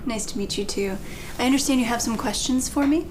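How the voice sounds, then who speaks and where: An actor playing a woman doctor who speaks to patients in a calm, reassuring, clinical way in a training dramatization. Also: on the high side, fairly quick, a little hiss or hum, natural voice, low-quality recording. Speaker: physician in a role-play.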